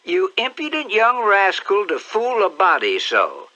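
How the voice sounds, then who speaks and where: A man doing a shrill, fussy old aunt. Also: huge pitch swings, unhurried, pushed and bright, muffled recording, exaggerated voice. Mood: scolding. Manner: shrill, scolding exclamations. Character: fussy excitable old aunt, rural woman.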